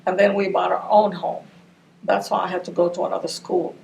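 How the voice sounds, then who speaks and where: A woman's voice: on the low side, flat delivery, brisk, creaky, a little mellow. An older woman from Ramallah whose first language is Arabic, recalling her childhood in an oral history interview. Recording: distant mic with faint noise.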